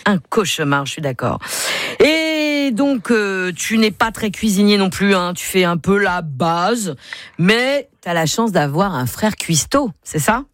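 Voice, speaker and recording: An older woman co-hosting a radio game show, teasing and quick with jokes. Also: medium pitch, lively intonation, no echo, clean studio sound. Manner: joking, teasing, quick asides. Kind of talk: lively radio banter.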